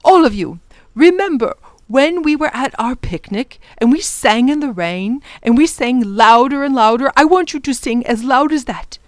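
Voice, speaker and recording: Woman playing a fussy, animated male professor. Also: high voice, moderate pace, dry recording, voice pushed up. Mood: encouraging. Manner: exclamatory, old-fashioned, instructive. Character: fussy, kindly male professor, easily flustered, rallying children.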